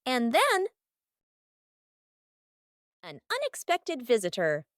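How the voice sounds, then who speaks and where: A woman's voice: sits high, huge pitch swings, slow pace, clean tone, no echo, studio quality. A woman narrating a children's cartoon from a script, in short, simple storybook sentences.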